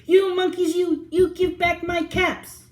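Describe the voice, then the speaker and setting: A woman voicing an angry male cap peddler who shouts and scolds. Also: very high voice, level tone, measured pace, slight background noise, voice pushed up. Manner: shouting, finger-wagging scolding. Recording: roomy echo.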